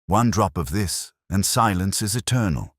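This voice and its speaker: A man's voice: low-pitched, expressive, normal speed, no room sound, studio quality, voice pushed up. A man voicing a composed, defiant, menacing woman villain in a storybook, with theatrical, taunting formality. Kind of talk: audiobook character dialogue.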